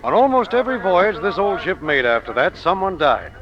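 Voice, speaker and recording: Solemn ship owner, slightly high voice. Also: male voice, big pitch swings, brisk. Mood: grave. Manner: solemn, formal storytelling. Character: grave, uneasy ship owner recounting a curse. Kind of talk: 1940s radio drama acting. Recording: muffled, noisy old radio recording.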